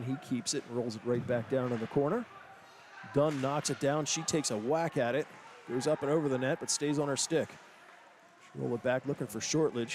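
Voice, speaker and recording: A man calling hockey play-by-play, with quick, excited calls that rise to shouts. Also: medium pitch, slight background noise. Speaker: play-by-play announcer. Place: ice rink broadcast booth. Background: loud arena music.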